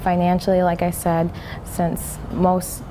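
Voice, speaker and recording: A woman's voice: lowish voice, monotone, measured pace. A young adult woman, a registered nurse, answering interview questions in an earnest, methodical way with some ums and restarts. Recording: noisy recording.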